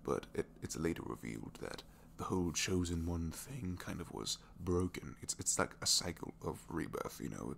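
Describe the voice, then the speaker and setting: Man acting a deep, creaky, gentle supervillain. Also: lively intonation, moderate pace, hardly any pauses, a little hiss or hum, full character voice. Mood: geeky, wistful. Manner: hesitant, soft-spoken, rambling, often dropping to whispers. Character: captured supervillain, gentle, bookish, shyly earnest dreamer. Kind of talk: intimate ASMR audio roleplay.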